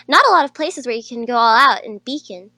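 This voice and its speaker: A woman's voice: fairly high pitch, lively intonation, brisk, sharp tone, dry recording, heavily acted. A woman voicing a confident, playful young female student in a visual novel. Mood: helpful, upbeat. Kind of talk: character dialogue in a visual novel reading.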